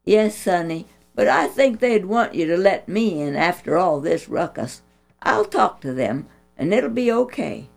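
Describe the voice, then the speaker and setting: A woman voicing a big, kindly policeman who speaks calmly and folksily. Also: on the low side, measured pace, vocal fry, faint music behind, heavily acted. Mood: reassuring. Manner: calm, folksy, reassuring. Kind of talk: storybook reading.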